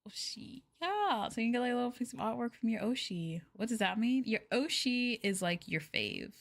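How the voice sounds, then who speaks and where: A woman's voice: fairly high pitch, very animated, normal speed. A woman streaming as a VTuber, chatting casually in a rambling, giggly way with lots of filler words. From United States. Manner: giggly and playful.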